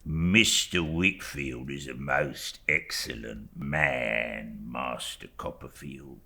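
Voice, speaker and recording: A man voicing an oily, falsely humble young law clerk in an audiobook, with slow, obsequious phrases that sink into a hush. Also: rumbling low, gravelly, pitched below the performer's own voice, exaggerated voice. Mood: fawning. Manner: slow, obsequious, drawn-out, hushed phrase endings. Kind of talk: audiobook character acting.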